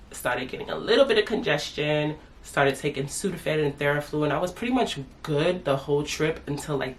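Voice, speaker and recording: A woman in her thirties chatting casually as a family vlogger, congested from a sinus infection and calling herself "your girl". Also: deep voice. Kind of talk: casual chat to camera.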